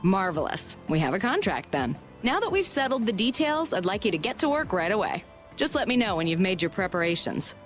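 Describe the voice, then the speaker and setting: A woman playing a shrewd, confident merchant guild president in a video game, brisk and polished in delivery. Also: mid-range voice, very animated, soft background music, lightly acted. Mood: persuasive, confident. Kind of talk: video game dub acting.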